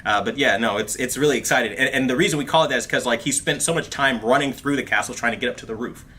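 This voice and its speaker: A man hosting a casual livestream, talking in an animated, chatty way with plenty of fillers and calling his viewers bro and dude. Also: medium pitch, fast, a little hiss or hum. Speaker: host of a TV review livestream. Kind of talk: casual livestream chat reading viewer comments.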